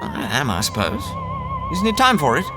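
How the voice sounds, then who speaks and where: A man's voice: extremely high pitch, wildly swooping pitch, normal speed, exaggerated voice. Guy doing a plummy fretful husband, super swoopy voice, music under. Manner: plummy, fussy. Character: fussy posh husband, genteel and fretful. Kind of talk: audio drama acting.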